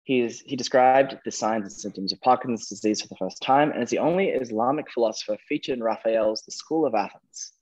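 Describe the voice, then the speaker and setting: A man works as quizmaster, reading questions and ruling on answers in a mid-pitched voice. The recording is a little dull and lo-fi. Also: fairly quick, hardly any pauses, a little mellow. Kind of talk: reading quiz questions and giving verdicts.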